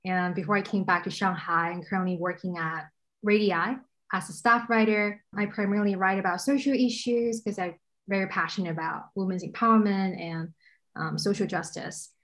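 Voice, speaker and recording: A woman's voice: medium pitch, steady tone, moderate pace, fairly bright tone. Woman, twenties, from China, guest, conversational and eager. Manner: eager, many fillers. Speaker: guest, staff writer. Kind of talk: casual podcast conversation.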